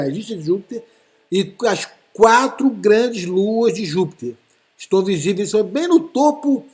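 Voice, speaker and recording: A man's voice: sits high, darkish tone. A man hosting a live stream, casually explaining space news to viewers with many fillers. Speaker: livestream host commenting on space news.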